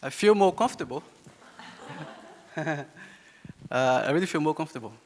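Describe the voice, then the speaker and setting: A young man from Brazil with a Brazilian Portuguese accent, a Yawanawa speaker, giving a halting talk to an audience, repeating words and laughing often. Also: on the high side, animated, slight background noise. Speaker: invited speaker. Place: hall with an audience. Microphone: handheld microphone. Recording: hall reverb.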